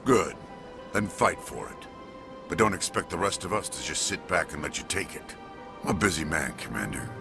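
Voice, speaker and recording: A man playing a stern, proud alien police chief in a video game, curt and disapproving, with a gravelly voice. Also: low voice, expressive, moderate pace, music in the background. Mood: cold, resentful. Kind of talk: video game dialogue acting.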